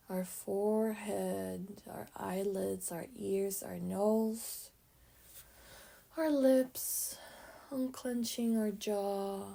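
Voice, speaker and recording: A woman guides a meditation at a very slow pace, in short, gently placed phrases. Her voice is mellow and a little creaky, with soft, breathy endings that trail off between pauses. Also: mid-range voice.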